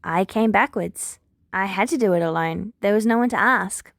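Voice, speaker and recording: A woman's voice: medium pitch, moderate pace, creaky, fairly bright tone, dry recording, clean studio sound. A woman voicing an earnest, wounded young heroine from the future in a story, speaking firmly and a little wryly. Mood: resolute. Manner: prompt, firm, wry.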